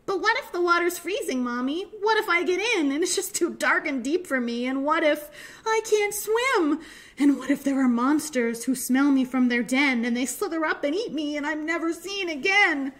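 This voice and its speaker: A woman voicing a small, timid but excitable young boy penguin in a high childlike voice for a storybook. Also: normal speed, full character voice. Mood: anxious, fearful. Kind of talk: children's storybook read aloud.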